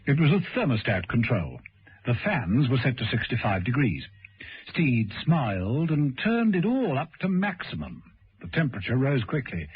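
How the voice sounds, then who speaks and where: A man's voice: mid-range voice, big pitch swings, normal speed, breathy edge. A man narrating a vintage radio serial in a dramatic, suspenseful scripted style. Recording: muffled old radio broadcast recording.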